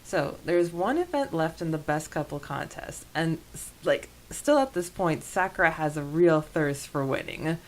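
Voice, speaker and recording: A woman's voice: low voice, sing-song, normal speed, few pauses, rough recording. A woman hosting a podcast that discusses a book, mixing prepared notes with talk. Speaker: podcast host. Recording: noticeable background hiss.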